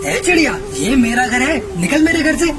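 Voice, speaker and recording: A woman voicing a gruff, scolding male crow with a brusque, commanding manner in a Hindi animated story. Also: high-pitched, normal speed. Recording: music behind the voice.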